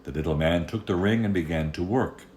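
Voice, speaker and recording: A man telling a fairy tale aloud as an expressive storyteller. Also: deep voice, brisk, slight background noise.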